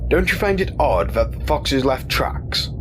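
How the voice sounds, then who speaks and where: An actor playing a calm, commanding rabbit starship captain in an audio drama, giving orders in a formal, steady way. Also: male voice, medium pitch, unhurried, over music.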